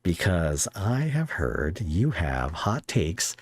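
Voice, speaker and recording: A man with a very deep voice hosts a casual podcast chat. The sound is close and dry. He talks in a wry, hesitant, rambling way. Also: big pitch swings, slow, dry recording. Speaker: podcast host interviewing a guest. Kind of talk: casual pop culture chat.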